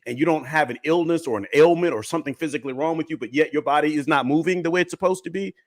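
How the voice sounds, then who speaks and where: A man's voice: fairly high pitch, very fast, dry recording, clean studio sound. A man on a discussion panel giving reflective, conversational advice, listing points with emphasis and checking in as he goes.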